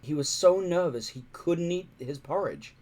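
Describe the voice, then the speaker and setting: Animated, high-voiced male storybook reader. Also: normal speed. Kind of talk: expressive storybook narration.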